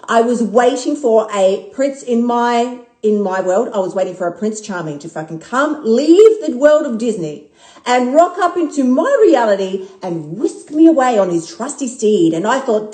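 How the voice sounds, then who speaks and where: Woman, 50s, candid motivational vlogger: brash, profane, lots of laughs, slightly high voice, echoey room. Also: a little mellow. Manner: blunt, heavy swearing, laughs often. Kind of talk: casual motivational monologue.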